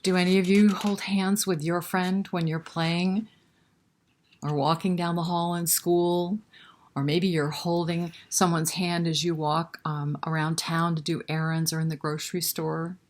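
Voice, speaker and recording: Woman, children's storytime host, lowish voice, very unhurried, talking straight to little listeners. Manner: speaks directly to young children, asking questions. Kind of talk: children's picture-book read-aloud and chat.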